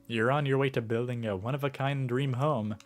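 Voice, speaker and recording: A man's voice: medium pitch, fairly even intonation, brisk, natural voice. A sick man losing his voice reads a friendly male construction salesman's lines aloud from a video game. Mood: cheery.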